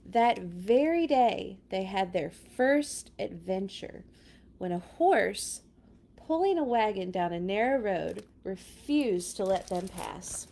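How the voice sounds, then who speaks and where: A woman's voice: medium pitch, expressive, takes it slowly, vocal fry, warm tone. A woman reading a picture book aloud to children, with dramatic emphasis and chatty asides. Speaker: children's read-along storyteller.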